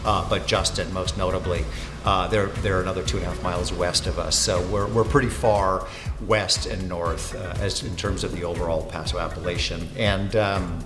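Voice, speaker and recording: A man's voice: low-pitched, steady tone, moderate pace. A man in his sixties, a winery owner and winemaker whose first language is Finnish, giving casual, rambling interview answers full of fillers and self-corrections. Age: 60s. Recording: echoey room with long reverb and music.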